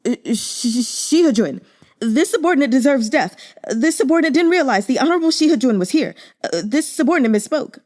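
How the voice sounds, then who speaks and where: A woman's voice: sits high, expressive, brisk, a little mellow, voice pushed up. A woman reading a novel aloud, voicing a flustered young male guard captain who stammers and grovels. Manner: stammering, panicked and deferential.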